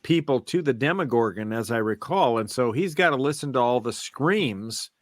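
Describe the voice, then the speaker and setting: A man with an average-pitched voice chats as a podcast co-host. He speaks casually, pausing to hunt for the right word. The recording is close and dry, with no room around him. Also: lively intonation, dry recording. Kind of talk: casual chat.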